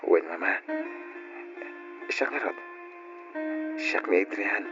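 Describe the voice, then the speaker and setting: An actor playing a devoted, patient grown son in a radio drama, speaking earnestly and sentimentally. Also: male voice, sits low, expressive, slow pace. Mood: tender, emotional. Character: devoted, patient, self-sacrificing adult son caring for mother. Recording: dull lo-fi radio drama recording. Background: guitar music.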